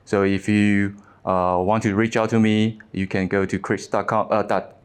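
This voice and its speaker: A man in his thirties speaks English with a Taiwanese accent, his first language being Mandarin. His voice sits fairly low. He presents at a conference in a halting way, full of fillers and restarts. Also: level tone, clear, resonant tone.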